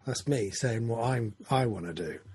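A man's voice: medium pitch, expressive, normal speed, a little mellow, breathy edge, no room sound. A man, talking through his goals in a casual, rambling, self-correcting chat. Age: 40s.

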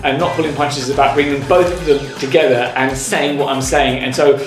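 A man's voice: medium pitch, fairly even intonation, a little fast. A man, a stand-up comedian being interviewed, chatting earnestly and often reworking his phrases. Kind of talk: casual interview chat. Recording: roomy sound, music bed behind. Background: music.

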